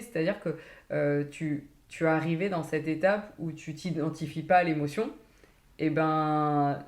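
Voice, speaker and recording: A woman's voice: low-pitched, a little mellow. Reflective woman host in a roomy echo. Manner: hesitant, many fillers and restarts, reflective. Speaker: podcast host. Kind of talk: casual interview talk.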